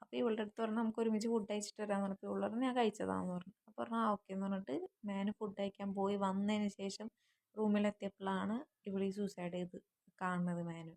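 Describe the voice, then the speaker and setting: Woman, soft dark tone, little pitch movement, casual recounting. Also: flat delivery, quick pace, vocal fry. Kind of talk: casual voice message recounting events.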